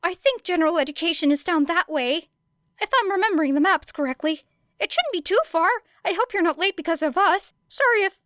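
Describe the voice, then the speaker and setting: A woman's voice: very high voice, lively intonation, a little fast, dry recording, clean studio sound, voice pushed up. A woman voicing a nervous, earnest teenage boy student who talks modestly and hesitantly in an audiobook. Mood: helpful, apologetic. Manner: quiet, hesitant, apologetic.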